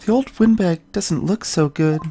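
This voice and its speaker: A woman's voice: lowish voice, moderate pace, mellow, a little hiss or hum, close to an everyday voice. A woman voicing a timid, concerned young female assistant in video game dialogue. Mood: timid, wary. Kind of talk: reading game dialogue aloud.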